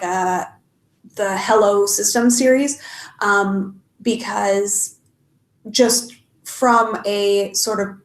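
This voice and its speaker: A young adult woman, stuffed up and sniffly, chatting casually on a live stream and answering questions with many ums and restarts. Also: mid-range voice. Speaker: YouTuber answering live chat. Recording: echoey room.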